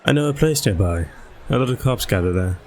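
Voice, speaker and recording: A man's voice: lowish voice, animated, brisk, darkish tone. A man playing a casual, wry cop in a game roleplay, talking in an easygoing conversational way. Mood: wry, flirty. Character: casual, wry male cop nursing a crush. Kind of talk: online game roleplay voice acting.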